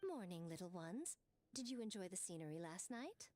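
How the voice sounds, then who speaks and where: A woman's voice: lowish voice, lively intonation, moderate pace, darkish tone, natural voice. A woman voicing a sly, elegant rival in a video game, playful, smug and mock-polite. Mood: playfully sly.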